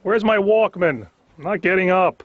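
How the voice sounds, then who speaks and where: High-voiced, exasperated male comedian. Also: moderate pace, no echo. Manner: exasperated, neurotic complaining. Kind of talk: conversational observational comedy monologue. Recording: slightly dull lo-fi cartoon soundtrack.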